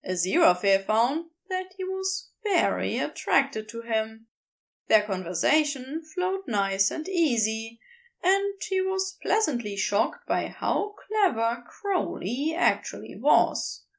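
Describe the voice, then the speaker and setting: High-pitched woman narrating with lively swoops. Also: gravelly. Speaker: podfic narrator reading fan fiction aloud. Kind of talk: scripted romantic story narration.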